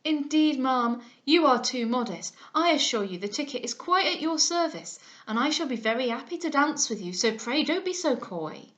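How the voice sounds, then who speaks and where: A woman voicing a forward, vulgar young man who fawns loudly and affects genteel manners. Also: sits high, lively intonation, normal speed, hardly any pauses. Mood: pushy. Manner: loud, ingratiating, affected gentility.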